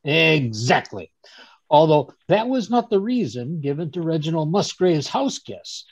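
A man playing a genial, fussy older English gentleman, chattily recounting his adventures in old-time radio drama style, with a husky voice. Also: fairly high pitch, big pitch swings, unhurried, no echo, heavily acted. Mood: genial storytelling. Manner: chatty, chuckling, rambling storyteller with stammered asides. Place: home room on a group video call. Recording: dull, dry video-call audio.

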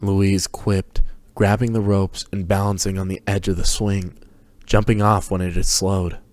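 A man's voice: low voice, little pitch movement, measured pace, a little mellow. A man narrating prose fiction as a dramatic reading.

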